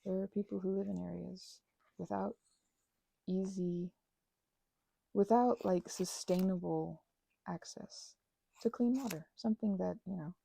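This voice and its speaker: Soft husky, creaky woman rambling. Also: medium pitch, fairly even intonation. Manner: rambling, halting, self-correcting, earnest. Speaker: host of a craft ramble channel. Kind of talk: unscripted ASMR craft ramble.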